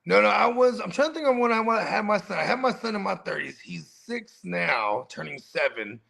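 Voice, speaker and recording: A man around forty chats on a live stream, his voice heavy with gravel and fry. The tone is bright and tense, and a little high for a man. He tells easygoing, rambling stories. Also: sing-song, fairly quick. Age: 40s. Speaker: podcast co-host. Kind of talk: casual chat.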